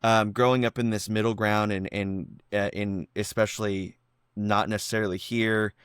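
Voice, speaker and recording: A man hosting a podcast interview, enthusiastic and excitable in casual chat. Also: on the low side, normal speed, clean tone, dry recording, studio quality. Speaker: podcast host. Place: San Diego.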